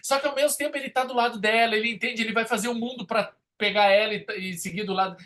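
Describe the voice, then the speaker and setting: A man's voice: very high-pitched, fairly even intonation, fast, bright, forceful tone. A man with a Brazilian accent co-hosting a film podcast, talking casually in an enthusiastic, rambling way.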